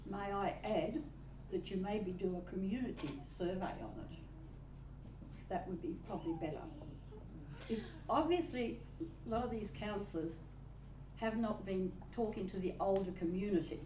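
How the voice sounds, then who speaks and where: A woman's voice: mid-range voice, expressive, normal speed. An Australian woman, a resident at a council meeting, pressing pointed questions insistently. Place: old council chamber. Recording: distant, echoey, noisy chamber recording.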